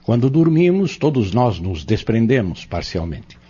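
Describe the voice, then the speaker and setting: A man playing a patient, earnest Spiritist teacher in an audio drama, explaining step by step in a measured, didactic way. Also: mid-range voice, very animated, warm tone, breathy edge. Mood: patient, instructive. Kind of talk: scripted audio drama dialogue. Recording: dull lo-fi audio.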